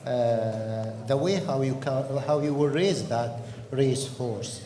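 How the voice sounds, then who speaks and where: A man speaks on a panel in slow, halting English, with a soft, mellow tone. His voice rings out through a big reverberant conference hall. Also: mid-range voice, a little hiss or hum. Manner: halting, simple non-native English phrasing. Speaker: horse breeder on a panel. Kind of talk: panel discussion answer.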